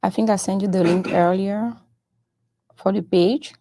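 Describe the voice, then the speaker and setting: A French-speaking woman hosting a live-streamed interview, talking in a halting, unhurried way and moving between English and French. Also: mid-range voice, steady tone, mellow. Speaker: host of a live show.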